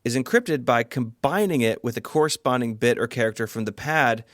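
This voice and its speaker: A man's voice: medium pitch, animated, a little fast, no room sound, studio quality. A man co-hosting a podcast, reading scripted explanations mixed with casual banter. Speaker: podcast co-host.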